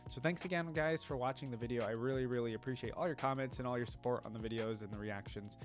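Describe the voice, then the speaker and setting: A man's voice: mid-range voice, fast, over loud music. A young man hosting a reaction video, giving informal commentary and musing aloud as he reads and reacts.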